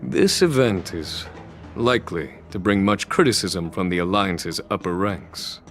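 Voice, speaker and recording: A composed, diplomatic leader in a fantasy game. His voice sits fairly low and moves expressively while staying polite and measured. Soft music runs underneath. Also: lively intonation. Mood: calm, reflective. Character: composed, courteous male commander, thoughtful and diplomatic. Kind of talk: scripted video game dialogue.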